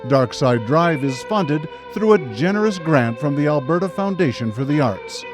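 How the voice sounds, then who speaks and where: Male radio drama announcer: theatrical, slightly high voice, lively swings in pitch, music underneath. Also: moderate pace. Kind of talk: theatrical scripted radio narration. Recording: live radio broadcast with music behind.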